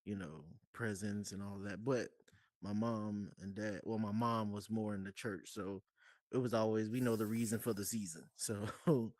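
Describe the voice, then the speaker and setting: Male guest, relaxed storyteller, lowish voice, dry. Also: moderate pace, no room sound. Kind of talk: casual group chat.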